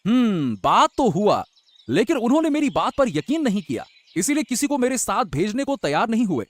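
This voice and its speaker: A man voicing a greedy, lying elder brother with a sly, flattering manner in a moral-story cartoon. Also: high-pitched, moderate pace, no room sound. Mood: conspiratorial. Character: scheming young man, greedy elder brother who lies. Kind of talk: animated Hindi moral-story dialogue.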